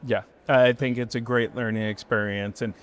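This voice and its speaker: A man with a mid-range voice hosts a podcast recorded on location, upbeat and friendly in tone. He fills the guest's pauses with short agreeing "yeah"s and keeps the talk moving. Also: medium pitch, steady tone. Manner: upbeat, friendly, frequent "yeah" and "mm-hmm" backchannels. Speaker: podcast host. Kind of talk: podcast interview hosting. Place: live event recording on location.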